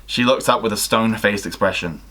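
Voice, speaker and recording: A man's voice: on the low side, a little fast, pushed and bright. A young adult man reading a story aloud in a theatrical way, acting out the dialogue. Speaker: guest reader of listener fan fiction. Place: small attic bedroom, indoors.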